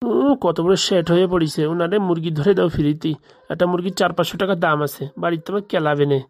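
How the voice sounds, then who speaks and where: Cartoon village boy played by a woman: grumbling, colloquial, cowardly braggart, lower register, brisk chatter. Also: fairly even intonation, a little mellow, lightly acted. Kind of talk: comic cartoon dialogue.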